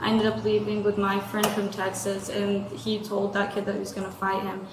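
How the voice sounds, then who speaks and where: A woman's voice: medium pitch, monotone, moderate pace. A young American woman giving a casual spoken account as a student reporting to police. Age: young adult. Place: indoor room, speaking to officers. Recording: roomy, distant, noisy bodycam audio.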